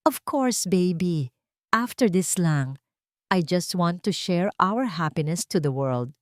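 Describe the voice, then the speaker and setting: A woman's voice: lowish voice, animated, slow pace, dry recording, clean studio sound. A woman voicing a bubbly, self-absorbed young female influencer who gushes in Taglish in an audio romance story. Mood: sweetly dismissive. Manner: gushing, Taglish influencer talk.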